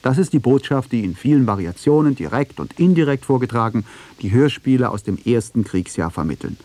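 A man's voice: mid-range voice, animated, a little fast, mellow. A man reading scripted documentary narration as the narrator of a radio feature.

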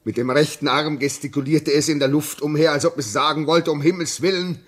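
An actor playing a haunted, anxious railway signalman in a 1950s radio drama, halting and agitated, swinging between hushed dread and crying out. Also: fairly high pitch, steady tone, natural voice. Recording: muffled-edged vintage 1950s radio recording.

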